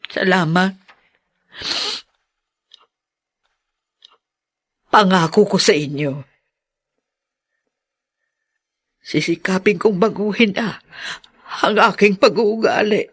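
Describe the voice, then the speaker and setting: A remorseful older mother acted by a woman in an audio drama. The voice is husky and worn, and she speaks haltingly and contritely, as someone seeking to make amends. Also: mid-range voice, fairly bright tone.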